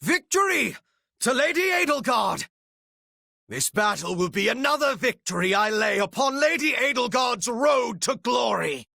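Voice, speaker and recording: Menacing dark retainer, raspy and creaky, sharp edge, formal theatrical diction, devoted strategist, video game character. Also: male voice, very high-pitched, sing-song, measured pace, no room sound, clean studio sound, full character voice. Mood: rousing battle command.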